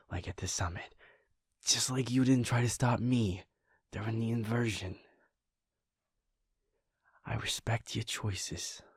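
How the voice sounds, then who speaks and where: Man playing a werewolf boyfriend, earnest, soft-spoken, low voice, slow and gravelly, audio roleplay. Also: dry recording, clean studio sound, heavily acted. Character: caring adult male werewolf boyfriend.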